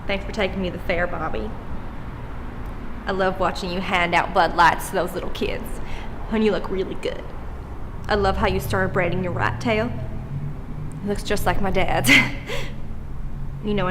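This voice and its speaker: A woman's voice: mid-range voice, fairly even intonation, moderate pace, long pauses, big-room sound, background noise, lightly acted. An actress playing a naive small-town girlfriend who gushes sweetly about her boyfriend. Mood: lovestruck. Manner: sweet, starry-eyed, naive gushing.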